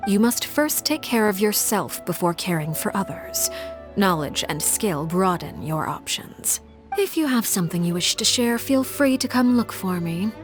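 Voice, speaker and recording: A cool, calculating woman of authority, voiced by an actress in a game, every word formal and precisely placed. Her voice sits at an ordinary middle pitch, even and controlled. Soft music plays faintly beneath her. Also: lightly acted. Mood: composed. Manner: formal, measured, precise diction. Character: poised, calculating adult woman leader, composed and formal. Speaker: video game character. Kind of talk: scripted game voice lines.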